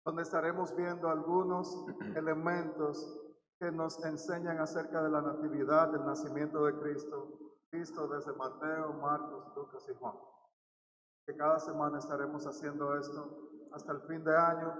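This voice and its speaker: A man serving as pastor, welcoming the congregation, introducing the speaker and praying in a steady, formal way. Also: on the high side, moderate pace, echoey room, long reverb tail, slight background noise, poor audio. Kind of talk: church announcements and prayer.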